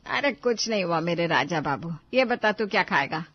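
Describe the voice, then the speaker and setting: A woman's voice: fairly low pitch, expressive, normal speed, no echo, lo-fi sound. A woman voicing a weary, placating middle-aged mother in a cartoon family story, coaxing and plaintive. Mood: caring.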